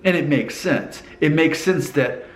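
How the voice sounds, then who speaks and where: A man's voice: on the high side, animated, unhurried, roomy sound, a little hiss or hum. A man from Alabama with a mostly neutral American accent and a faint Southern hint, musing casually to the camera and asking the viewer questions. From Alabama, USA. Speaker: vlogger.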